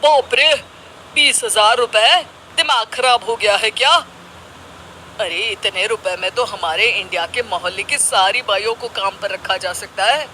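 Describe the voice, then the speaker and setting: A man's voice: sits high, a little slow, bright, forceful tone, slight background noise, heavily acted, low-quality recording. A man playing a naive, eager, thrifty young husband in a cartoon, with an exclaiming, argumentative manner. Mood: shocked, complaining.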